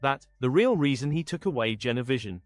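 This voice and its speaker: A man's voice: medium pitch, lively intonation, fairly quick, dry recording, studio quality. A man reading out short pieces of commentary.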